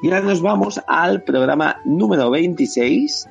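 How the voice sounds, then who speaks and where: A man's voice: fairly high pitch, animated, normal speed, vocal fry. A man with a Spanish accent from Spain hosting a podcast, chatting casually and reading out listener comments. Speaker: podcast host. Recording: dull lo-fi sound, faint music bed.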